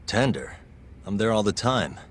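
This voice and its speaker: A man's voice: on the low side, big pitch swings, measured pace, a little hiss or hum. An actor playing a laid-back, blunt male detective in a crime drama video game dub, speaking casually. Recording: music behind the dialogue.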